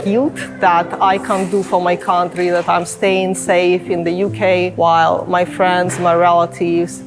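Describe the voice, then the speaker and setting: Woman, serious, kinda monotone, music playing under. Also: fairly low pitch. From Ukraine. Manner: measured, serious. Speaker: sanctuary group volunteer. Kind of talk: personal testimony. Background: music bed.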